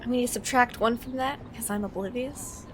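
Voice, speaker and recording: A woman's voice: fairly high pitch, brisk, slight background noise. A woman playing a tabletop game, improvising her character's lines in a casual style.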